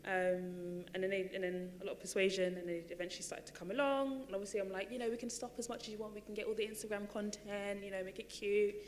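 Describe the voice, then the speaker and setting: A woman's voice: medium pitch, steady tone, fast talker, hardly any pauses, long reverb tail. Chatty woman on a theatre stage. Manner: chatty, many fillers and restarts. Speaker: panel speaker, running coach. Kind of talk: panel talk on stage.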